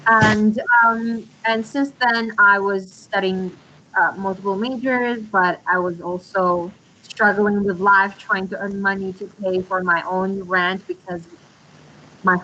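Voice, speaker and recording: A woman in her twenties, a guest speaking earnestly and hesitantly over a video call, pausing often on ums. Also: medium pitch, fairly even intonation, bright, forceful tone, dull audio, a little hiss or hum. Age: 20s. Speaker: interview guest.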